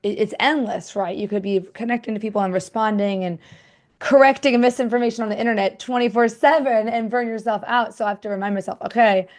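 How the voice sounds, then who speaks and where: A woman's voice: a little high, fairly even intonation, quick pace. A middle-aged woman speaking as a podcast host, chatting informally and thinking aloud as she interviews a guest. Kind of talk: casual conversational interview.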